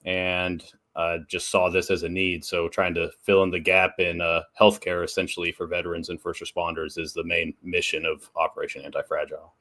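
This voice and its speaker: Brief: low-voiced veteran man, relaxed. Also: a little fast, hardly any pauses. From Texas and Oklahoma. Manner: many fillers and restarts, laughs easily. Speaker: guest, nonprofit founder and strength coach. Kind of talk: casual interview talk.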